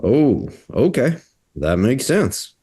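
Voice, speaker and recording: A man's voice: mid-range voice, very animated, takes it slowly, warm tone, breathy edge, heavily acted. A man playing an earnest, inquisitive amateur sleuth in a scripted table read. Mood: curious.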